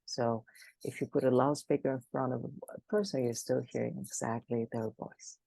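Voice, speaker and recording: Woman, gravelly and husky, mellow dark tone, dry close sound, emphatic and animated. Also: extremely low pitch, normal speed, no room sound, poor audio. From Greece. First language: Greek. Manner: frequent restarts, animated and emphatic. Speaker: guest expert. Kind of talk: conversational interview.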